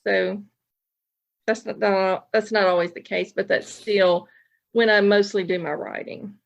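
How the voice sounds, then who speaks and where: Female podcast guest, medium pitch, loose rambling chat, dull lo-fi sound. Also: fairly even intonation, measured pace, creaky. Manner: informal, rambling, self-interrupting. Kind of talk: casual chat.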